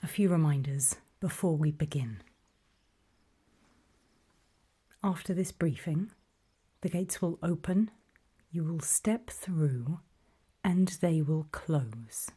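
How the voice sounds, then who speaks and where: A woman playing a calm, faintly sinister official in a whispered ASMR roleplay briefing, breathy, measured and politely ominous. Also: sits low, full character voice. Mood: ominous, matter-of-fact.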